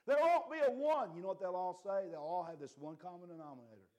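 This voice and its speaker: A man preaching a fiery sermon, impassioned and swinging between quiet and loud, his voice a little worn. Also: high-pitched, quick pace.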